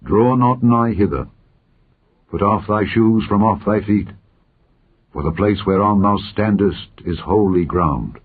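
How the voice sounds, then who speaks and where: A man's voice: on the low side, a little slow, slightly rough. A man reading the part of a majestic, commanding yet compassionate deity in a grand, declamatory scripture style. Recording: muffled phone-like narrow-band audio.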